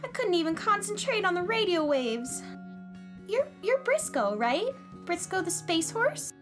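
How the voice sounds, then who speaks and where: A little girl cowpoke from a children's space radio play, with an extremely high, swooping voice over prominent music. She is eager and earnest, exclaims in wonder and is easily discouraged. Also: very animated, unhurried, a bit bright, exaggerated voice. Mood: curious. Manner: wide-eyed, earnest, exclaiming. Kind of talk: children's radio drama acting.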